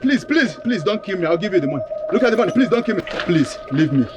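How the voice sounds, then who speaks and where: A man's voice: a little high, expressive, moderate pace, muffled recording, background noise, close to an everyday voice. A man playing a nervous land buyer who pleads and repeats himself. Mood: terrified. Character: nervous male land buyer, terrified when robbed.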